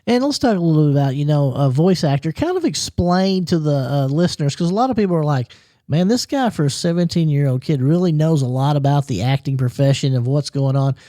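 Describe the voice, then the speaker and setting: A man hosting a local radio interview show in a small studio, asking questions haltingly with many "uh"s and repeating the station ID. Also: fairly high pitch, animated, warm tone, no room sound.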